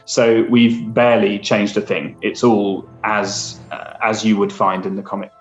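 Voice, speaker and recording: Even-toned man in a dull roomy recording. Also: lowish voice, a little slow, soft background music. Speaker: audio drama producer. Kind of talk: interview talk.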